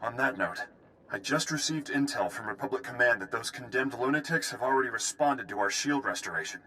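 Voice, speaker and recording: Game prison officer, gruff, brisk military delivery, bright forceful tone, mid pitch. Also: male voice, animated, a little hiss or hum, low-quality recording. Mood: brisk, businesslike. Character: gruff, duty-bound male prison station warden officer. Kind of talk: video game voice acting.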